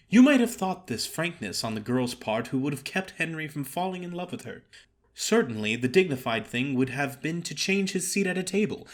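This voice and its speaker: A man reading a story aloud as theatrical audiobook narration, with a wry, expressive storytelling manner. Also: fairly high pitch. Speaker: story reader.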